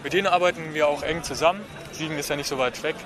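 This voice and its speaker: Slightly high-voiced man on a noisy street. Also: rapid-fire. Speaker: animal rights activist being interviewed. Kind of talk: casual, informal spoken interview. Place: outdoors at a city square. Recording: noisy outdoor street recording.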